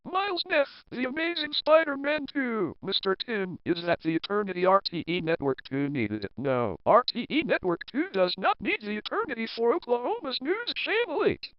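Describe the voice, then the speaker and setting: A woman's voice: fairly high pitch, very animated, normal speed, hardly any pauses, no echo. A woman acting a bossy, swooping, scolding boss. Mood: sarcastic scolding. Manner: emphatic, repetitive. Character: scolding, bossy control-room boss, easily exasperated. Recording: dull, dry lo-fi audio.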